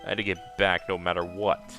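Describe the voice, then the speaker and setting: Man playing a brooding, bright-edged ghostly schemer. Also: sits low, little pitch movement, a little fast. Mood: frustrated. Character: bitter, resigned male ghost schemer confessing his plot. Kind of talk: game dialogue read-aloud in a let's play. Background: game music.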